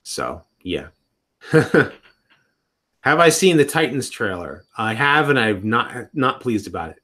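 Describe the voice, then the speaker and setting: A man's voice: medium pitch, sing-song, takes it slowly, pushed and bright. Rambling man in his thirties. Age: 30s. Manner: rambling, restarts sentences. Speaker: live streamer. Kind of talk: casual live-stream chat.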